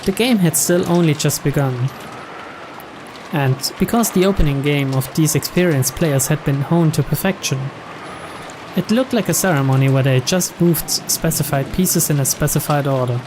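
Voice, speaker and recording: A man's voice: fairly high pitch, normal speed, background noise. A man reading visual novel narration aloud for a game playthrough. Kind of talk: scripted narration read aloud.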